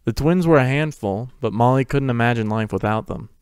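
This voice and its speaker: A man's voice: medium pitch, brisk, a little mellow, smooth, dry recording, clean studio sound. A man narrating a fan fiction story as a scripted dramatic reading.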